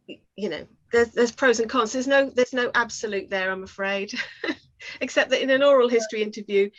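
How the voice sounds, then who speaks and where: A woman's voice: medium pitch, fairly bright tone. A woman giving conversational, explanatory advice as a workshop speaker, often weighing things up with hedges. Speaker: oral historian and workshop speaker. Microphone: video call. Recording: dull lo-fi webinar audio.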